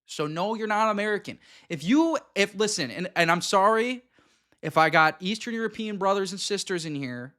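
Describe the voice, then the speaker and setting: High-voiced blunt male streamer. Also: expressive, pushed and bright. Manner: blunt, emphatic, quick asides to viewers. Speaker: streamer commenting on a clip. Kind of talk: reaction stream commentary.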